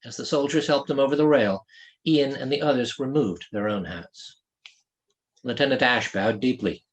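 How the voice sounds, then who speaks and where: A man reading his own fantasy novel aloud as an author, in expressive scripted narration. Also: medium pitch, moderate pace.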